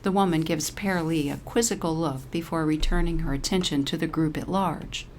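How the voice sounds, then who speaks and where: A woman's voice: sits low, a little fast, slight background noise. A woman narrating a scripted short story.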